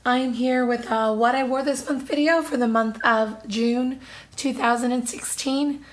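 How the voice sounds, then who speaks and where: A woman in her thirties with a hoarse, husky voice, still recovering from losing it, chatting casually to camera with lots of fillers. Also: fairly high pitch, steady tone, measured pace. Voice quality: husky, strained. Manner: chatty, apologetic, many fillers. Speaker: vlogger. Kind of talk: casual monthly haul-style chat to camera.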